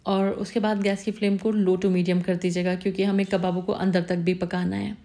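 A woman calmly narrating a step-by-step cooking recipe as the host of her channel, repeating tips patiently. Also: mid-range voice, moderate pace.

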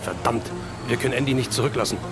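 A man's voice: mid-range voice, quick pace, gravelly, lightly acted. A man playing a decisive, loyal action hero in an audio drama, direct in manner. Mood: urgent. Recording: music and engine noise behind.

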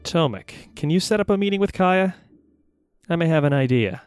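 A man's voice: a little high, lively intonation, moderate pace, clean tone, no room sound, soft background music, lightly acted. A man playing a determined, scheming guild mage in audio drama dialogue. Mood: thoughtful.

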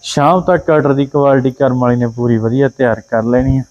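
A Punjabi-accented man reels off a cow's traits in quick singsong checklists on a farm yard. His voice sits at a middling pitch. The audio is a little dull and lo-fi. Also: steady tone, a little mellow. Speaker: livestock video host. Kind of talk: casual livestock sales commentary. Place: outdoor dairy farm yard.

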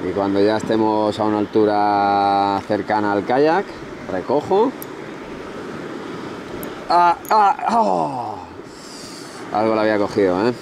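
A man's voice: fairly low pitch, background noise. A man with a Spanish accent, fishing from a kayak and chatting to his viewers as he explains his technique. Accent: Spanish from Spain. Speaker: fishing vlogger. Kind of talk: casual on-the-water commentary. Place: outdoors on a kayak at sea.

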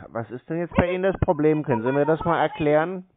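A man's voice: fairly high pitch, quick pace, a loud crowd. A man speaking casually and bluntly in a phone conversation, sounding unimpressed. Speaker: show host taking scam calls. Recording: band-limited, phone-like audio.

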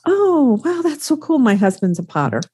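A woman's voice: high-pitched, wildly swooping pitch, moderate pace, dark tone, breathy edge, no room sound, studio quality. A middle-aged woman hosting a podcast interview over a video call, enthusiastic and encouraging. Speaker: podcast host.

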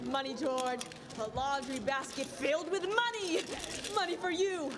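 Jubilant radio-play uncle, played by a woman, exclamatory and very high, reverberant hall. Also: steady tone, normal speed, lightly acted. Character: jubilant, excitable older uncle. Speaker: student actor. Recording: echoey hall, loud crowd behind.

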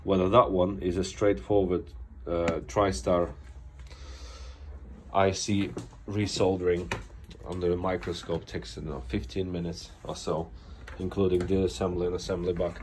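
Low-voiced male repair tech, stuffed up with a cold, walks through a bench test plainly, audible room noise. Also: flat delivery, normal speed. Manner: plain, explanatory, some restarts. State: has a cold. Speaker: phone repair technician. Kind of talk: casual hands-on repair demo. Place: repair workbench indoors. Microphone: phone camera held over bench.

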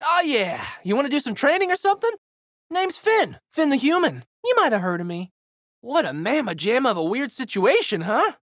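Plucky teen boy adventurer, high voice, wildly swooping intonation, goofy, slangy, exuberant, video game hero. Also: big pitch swings, normal speed, fairly bright tone, no echo, studio quality, heavily acted. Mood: upbeat, chatty. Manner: exuberant, slangy surfer-dude boyishness. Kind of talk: video game character voice lines.

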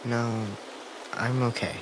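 A man voicing a timid, guilt-ridden gentle young boy in a video game, hesitant and apologetic. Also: mid-range voice, fairly even intonation, takes it slowly, background noise, natural voice. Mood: remorseful. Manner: hesitant, soft-spoken, apologetic. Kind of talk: naturalistic video game dialogue acting. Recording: clear outdoor stream ambience.

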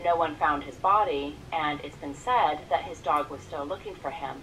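A woman's voice: low voice, normal speed. Woman narrating a scripted story. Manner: even, read-aloud delivery. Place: played from an animated video. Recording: distant, slightly noisy playback.

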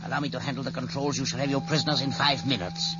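A man's voice: mid-range voice, fairly even intonation, fast talker, lightly acted. An actor playing a scheming, self-righteous male scientist informer in an old-time radio drama, on a muffled, noisy vintage broadcast. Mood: smug.

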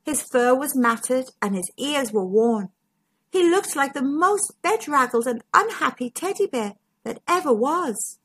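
A woman storytime host with a husky voice, reading a children's story expressively to young listeners. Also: high voice, a bit bright. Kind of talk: storybook read-aloud narration.

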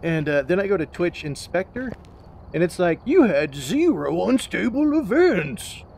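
A man's voice: high voice, very animated, measured pace, darkish tone. A man chatting casually and jokingly while playing a horror game, swearing now and then. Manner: joking, swearing, playfully chanting. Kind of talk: casual gaming chat and commentary. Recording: clear noise behind the voice.

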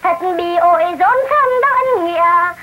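Lady chanting poems, super high voice swooping up and down, old film sound. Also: lively intonation, normal speed, dull audio. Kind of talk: chanted poetry recitation. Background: loud music.

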